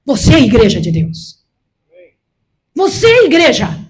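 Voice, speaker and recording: A woman's voice: high voice, wildly swooping pitch, moderate pace, darkish tone, slightly rough. A sixty-year-old Brazilian woman preaching a sermon, emphatic and repetitive, urging her listeners with direct commands. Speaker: preacher, missionary. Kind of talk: sermon to a church congregation.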